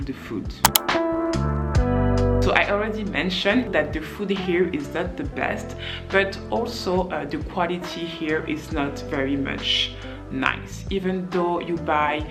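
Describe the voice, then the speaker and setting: A woman's voice: fairly low pitch, slow pace. A young woman from France with a French accent, giving earnest advice in a casual vlog and leaning hard on "very". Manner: earnest, repeats "very" for stress. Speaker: vlogger. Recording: music bed under the voice.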